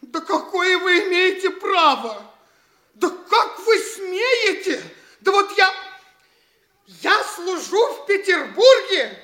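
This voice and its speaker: An actor playing an indignant, cowardly, blustering young petty official in a theatrical comedy radio play, stammering and exclaiming petulantly. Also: male voice, very high-pitched, sing-song, slow pace, bright, forceful tone, heavily acted. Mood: indignant, frightened.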